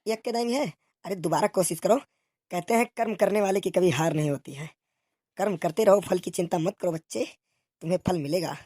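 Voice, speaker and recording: A woman's voice: fairly low pitch, a little fast, dark tone, dry recording, studio quality, lightly acted. A woman voicing bickering village policemen in a comic cartoon, with an eastern Uttar Pradesh accent and exclamatory, colloquial banter. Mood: bantering, grumbling. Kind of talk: comic cartoon dialogue in rustic dialect.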